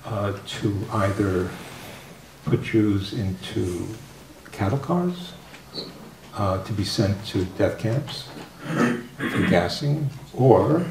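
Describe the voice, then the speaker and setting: An older man speaking softly as a guest on a discussion panel, halting with many fillers and restarts. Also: fairly low pitch. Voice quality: soft-spoken. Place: formal room with audience. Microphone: table microphone. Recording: roomy, distant mic, faint noise.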